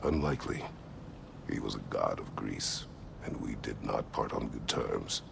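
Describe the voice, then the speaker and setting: An actor playing a stern, brooding, battle-worn god of war in a video game, with a gravelly growl and terse, grave delivery. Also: male voice, extremely low pitch, animated, measured pace, a bit bright, slight background noise, exaggerated voice. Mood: guarded, stern. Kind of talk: dramatic video game acting.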